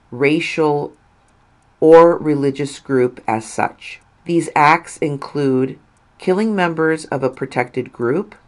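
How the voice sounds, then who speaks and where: Slow-paced woman reading an article. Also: rumbling low. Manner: measured, deliberate phrasing with pauses. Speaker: reader of an article. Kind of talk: scripted narration, read-aloud article.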